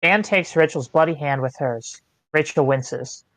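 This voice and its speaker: A reader giving plain, measured scripted narration of screenplay stage directions. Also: mid-range voice, a bit bright, no echo. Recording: online meeting, close and dry.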